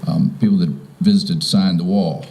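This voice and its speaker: Very deep, mellow older man speaking informally. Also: fairly even intonation, normal speed. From Virginia, United States. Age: 50s or older. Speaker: property owner welcoming guests. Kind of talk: informal welcome speech. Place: outdoors in front of a house.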